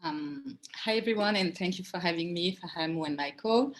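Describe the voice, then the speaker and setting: Low-voiced Cameroonian-born woman curator. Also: animated, normal speed, vocal fry, poor audio. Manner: hesitant, many fillers and restarts. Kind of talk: panel discussion.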